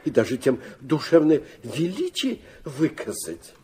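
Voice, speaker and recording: An actor playing a fussy, genial old aristocrat in a Russian radio play, husky, halting and mumbling, dropping French endearments. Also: male voice, a little high, sing-song, darkish tone, slight background noise. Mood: musing, dithering. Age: older.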